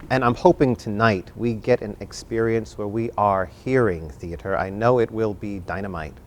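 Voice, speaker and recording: Man, lowish pitch, event host, emphatic, theatrical phrasing, repeats phrases for effect. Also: normal speed. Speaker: host and moderator of an arts talk. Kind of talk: warm-up hosting talk to a home audience and panel.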